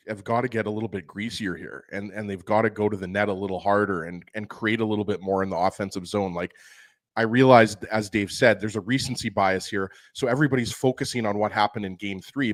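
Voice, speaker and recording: Male hockey co-host, lower pitch, emphatic, talks straight to co-hosts. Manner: emphatic, addresses co-hosts directly, tags lines with "right?". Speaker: co-host of a hockey talk show. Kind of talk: casual sports talk.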